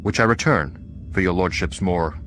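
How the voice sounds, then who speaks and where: A man's voice: low voice, a bit bright. An actor playing a dignified, indignant elder American statesman reading letters formally in a radio drama. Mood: cool indignation. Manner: formal, measured.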